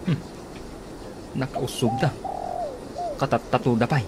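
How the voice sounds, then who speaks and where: An actor playing a cocky, boastful young boyfriend in an Ilocano radio comedy drama. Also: male voice, medium pitch, takes it slowly, heavy background noise, close to an everyday voice. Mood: boastful, casual. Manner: boastful, exclaiming fight cries.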